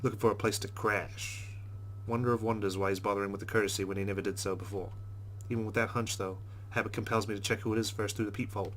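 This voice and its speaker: A man with a fairly low voice reads visual novel narration at a brisk clip. He is wry and conversational, like a host playing through a game, and there is a light hum and noise behind him. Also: flat delivery, a bit bright, low-quality recording. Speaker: let's play host. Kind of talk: reading game narration aloud with casual asides.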